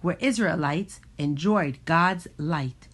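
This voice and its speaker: Slow, lowish-voiced woman reading a storybook. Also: husky. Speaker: storybook reader. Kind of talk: rhyming storybook narration for children.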